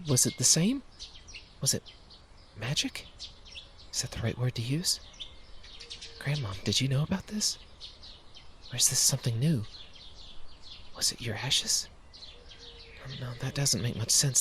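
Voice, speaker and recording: A man voicing a gentle, hesitant young woman who muses and trails off softly, as a storybook reader. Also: mid-range voice, lively intonation, unhurried, long pauses, slight background noise, voice pushed up. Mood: wondering. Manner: soft, hesitant, musing.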